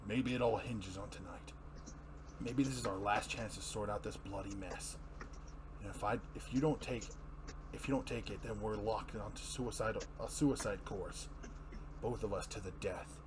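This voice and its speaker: A man performing a grim, weary, earnest masked superhero in a deep, hushed voice for a comic-book dramatic reading. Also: noisy background, lightly acted. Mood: grave, pleading. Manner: slow, solemn, restrained pleading.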